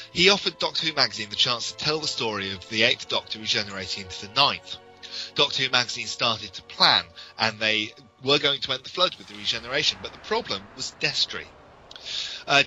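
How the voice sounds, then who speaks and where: Bright, forceful British male podcast host. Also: medium pitch, rough recording. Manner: detailed, explanatory, enthusiastic. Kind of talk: enthusiastic informal podcast commentary.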